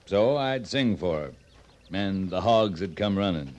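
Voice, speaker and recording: An actor playing a drily humorous frontier cavalry captain in a radio western, with an understated, folksy, deadpan manner. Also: male voice, deep voice, unhurried, highs cut off. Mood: dry, easygoing. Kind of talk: 1950s radio western acting.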